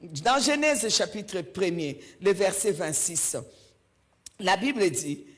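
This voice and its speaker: A woman's voice: medium pitch, expressive, slow pace. A woman from Belgium, preaching a sermon in a church and stressing her points by repeating key phrases.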